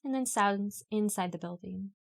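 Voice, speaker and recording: A woman reading a guided yoga nidra meditation in a calm, unhurried, instructional way. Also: medium pitch, creaky. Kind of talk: guided meditation, read from a script.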